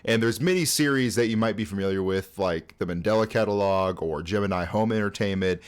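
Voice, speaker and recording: A man's voice: lowish voice, a little fast, clean tone. A young man in his twenties hosting a casual, conversational video essay, talking informally through scripted commentary. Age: 20s. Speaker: host.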